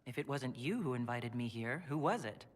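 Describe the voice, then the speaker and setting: A man plays a composed fantasy game hero. He is direct and diplomatic, with a mid-pitched voice. A long hall reverb trails his words. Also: animated, fairly quick, natural voice. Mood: composed, probing. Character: composed, forthright fantasy hero questioning a negotiator. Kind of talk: video game cutscene acting.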